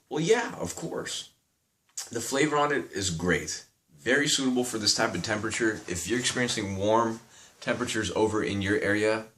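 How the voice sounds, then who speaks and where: A man's voice: fairly low pitch, a bit bright. A young man with a slight Persian accent, rambling casually through a product review and swearing now and then. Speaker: vape reviewer.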